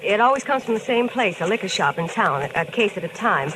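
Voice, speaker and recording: A woman plays a troubled wife in an old-time radio drama. She answers briefly and guardedly, with a weary air. Loud noise covers the vintage recording. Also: mid-range voice, animated, a little fast, close to an everyday voice.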